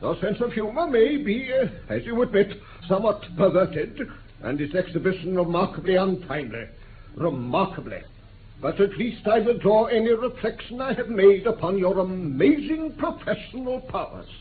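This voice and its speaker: On a thin, noisy 1950s radio recording, a crusty old British aristocrat. His voice is high and swings widely as he speaks in formal, pompous, easily affronted bluster. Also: sing-song, moderate pace, phone-quality sound. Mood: bewildered, contrite. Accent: upper-class British. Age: older. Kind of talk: 1950s radio drama acting.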